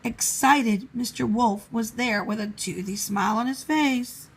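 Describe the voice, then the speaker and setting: A woman narrating a children's storybook in a measured, expressive way. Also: on the high side, slightly rough, low-quality recording.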